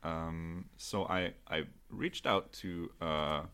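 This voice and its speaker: A man in his thirties from Norway with a light Norwegian accent, chatting as an interview guest in a soft, hesitant way with many fillers. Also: sits low, slow pace, clean tone. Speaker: guest, audio creator. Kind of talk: casual interview chat.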